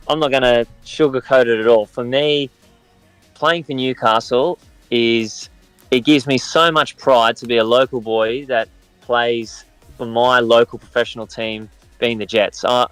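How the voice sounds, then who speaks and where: Australian man, thirties, smooth clear voice, dry close mic, reflective interview answers. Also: medium pitch, fairly bright tone, no echo, poor audio. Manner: measured and reflective, many restarts. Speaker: professional footballer guest.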